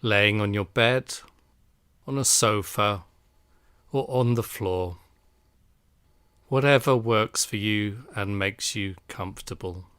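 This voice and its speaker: A man guiding a relaxation meditation in a calm, soothing, unhurried manner. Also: on the low side, clean tone, no room sound, clean studio sound. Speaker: meditation guide.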